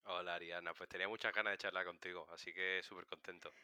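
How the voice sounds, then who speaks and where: A man's voice: low voice, flat delivery, quick pace, sharp tone, clean tone, dry recording, studio quality. A man in his thirties from Seville, a podcast guest casually telling stories and explaining in informal, self-correcting speech. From Seville, Spain. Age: 30s. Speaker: interview guest, political science communicator. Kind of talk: casual conversational storytelling.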